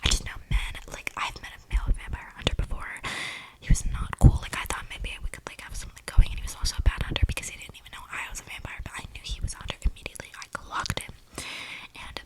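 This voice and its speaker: A woman playing an eccentric, flirty female vampire in a whispered ASMR role-play, rambling casually in breathy slang with audible breaths close to the mic. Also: fast talker, natural voice, low-quality recording. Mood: sassy, flirty. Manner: slangy, gossipy, conspiratorial, rambling. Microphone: close ASMR microphone.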